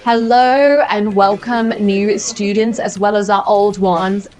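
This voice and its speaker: Helpful school receptionist acted by a woman, medium pitch, polite scripted welcome. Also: steady tone, slow, darkish tone, close to an everyday voice, rough recording. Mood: welcoming. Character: polite, helpful school check-in desk clerk.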